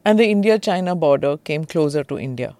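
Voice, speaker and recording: Host, woman, lowish pitch, prompting questions, dry close mic sound. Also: expressive, brisk, dark tone, no echo. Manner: prompting, frequent backchannel "hmm". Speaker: podcast host. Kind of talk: interviewer questions.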